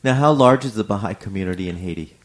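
A man's voice: lowish voice, fast talker. A man interviewing a guest, asking short, direct questions in a casual tone.

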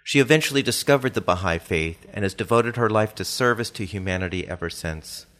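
A man with a fairly low voice presents a radio interview program. He speaks as the host, moving between scripted introductions and questions for his guest. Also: fairly quick, fairly bright tone.

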